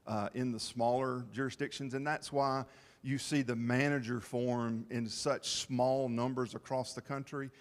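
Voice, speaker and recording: A man presenting at a town information session, explaining to the public in a halting, plain-spoken way. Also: medium pitch. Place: town meeting hall. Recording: long reverb of a meeting hall.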